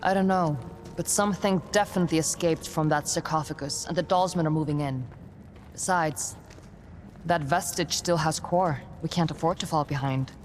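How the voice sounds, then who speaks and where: A woman's voice: sits low, normal speed, a little hiss or hum, close to an everyday voice. Woman acting a determined, terse young adventurer woman. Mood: resolute. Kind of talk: dramatic video game acting.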